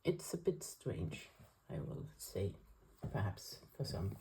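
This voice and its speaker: A woman's voice: rumbling low, very animated, takes it slowly, noisy background. A woman from Denmark with a Danish accent, speaking English as a second language, chatting hesitantly while setting up her weekly planner. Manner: hesitant, many fillers, careful. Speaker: planner vlogger. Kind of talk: casual chat while planning.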